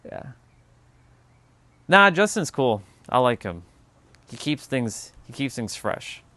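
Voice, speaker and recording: A man's voice: mid-range voice, sing-song, takes it slowly, sharp tone, no room sound. A man hosting a casual livestream, musing aloud on spiritual ideas and answering viewers in chat, with loose, offhand swearing. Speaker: livestream host.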